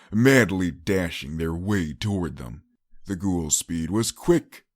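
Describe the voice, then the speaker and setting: A man's voice: low voice, no room sound, clean studio sound. A man reading a scripted story as an audiobook narrator, in a dramatic storytelling style.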